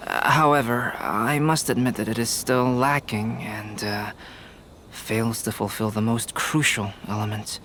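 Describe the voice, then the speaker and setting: A man voicing a composed, analytical young painter in an anime game, measured and formal, with music behind. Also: lowish voice, steady tone, pushed and bright, a little hiss or hum. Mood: pensive.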